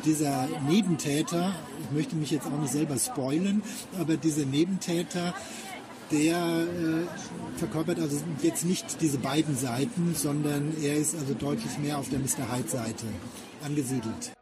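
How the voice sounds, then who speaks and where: Ein Mann erklärt als interviewter Autor sein Werk, bedacht und in ausführlichen, förmlichen Sätzen. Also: male voice, a little high, steady tone, moderate pace, a crowd in the background. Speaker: author being interviewed. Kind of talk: explanatory interview talk.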